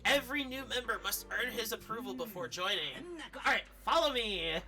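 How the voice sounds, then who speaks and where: A woman streaming a game, giving expressive, casual commentary and reading dialogue aloud, sometimes swearing. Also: a little high, moderate pace. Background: faint video game music.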